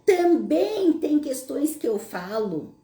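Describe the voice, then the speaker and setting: A woman with a Gaúcho accent from southern Brazil talks straight to her audience in a relaxed, explaining way. Her voice is warm and mellow. She is recorded in an echoey room. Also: high voice, slow. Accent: Gaúcho Brazilian Portuguese. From Brazil, Rio Grande do Sul. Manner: conversational, asks the audience rhetorical questions. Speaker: psychologist host answering audience questions. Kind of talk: casual explanatory monologue.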